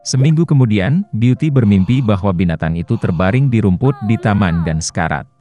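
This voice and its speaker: Low, mellow male storyteller over soft music. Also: animated, a little fast. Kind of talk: bedtime fairy tale narration.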